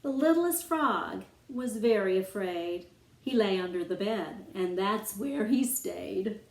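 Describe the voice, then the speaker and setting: A woman reading a rhyming children's story aloud as its author, with expressive, dramatic phrasing aimed at young listeners. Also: mid-range voice, slow pace. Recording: roomy, echoing room sound.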